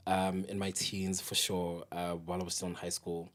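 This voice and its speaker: A man's voice: deep voice, completely flat delivery, moderate pace, fairly bright tone. A man with a South African accent talking reflectively as a guest in a casual podcast interview. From Johannesburg, South Africa. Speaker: guest, DJ and ballroom event curator.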